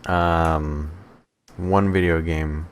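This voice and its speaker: A man's voice: low-pitched, steady tone, very slow, a little hiss or hum. A man co-hosting a podcast, bantering casually and informally with the odd swear word. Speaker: podcast co-host.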